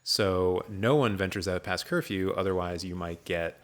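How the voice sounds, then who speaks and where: A man's voice: sits low, moderate pace. A man hosting a podcast, giving a rambling, jokey, off-the-cuff recap in a casual chat style. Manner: rambling, jokey, improvised storytelling. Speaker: podcast host.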